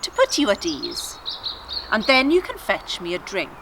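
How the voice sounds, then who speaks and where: A woman's voice: medium pitch, wildly swooping pitch, measured pace, fairly bright tone, heavily acted. A woman voicing a talking female donkey in a children's story, worldly, wry and self-assured. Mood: gracious, inviting. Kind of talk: children's storybook character reading. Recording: faint outdoor garden noise.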